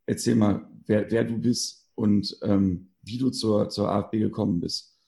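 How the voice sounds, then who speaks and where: A man's voice: lowish voice, fairly even intonation, a little slow, vocal fry, warm tone. A man hosting a casual podcast interview, informal and searching for his words as he goes. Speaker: podcast host.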